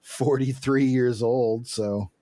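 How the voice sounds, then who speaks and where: A man's voice: medium pitch, steady tone, takes it slowly, warm tone, no room sound. A man co-hosting a podcast in casual chat, telling things in a rambling, digressive way. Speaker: podcast co-host.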